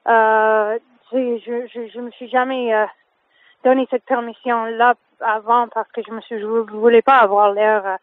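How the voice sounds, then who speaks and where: A singer whose first language is English speaks French, hesitant and full of fillers. Her voice sits fairly high. She comes through a tinny phone line. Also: level tone, unhurried, dry recording. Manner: hesitant, many fillers and restarts. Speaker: singer guest. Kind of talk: phone interview.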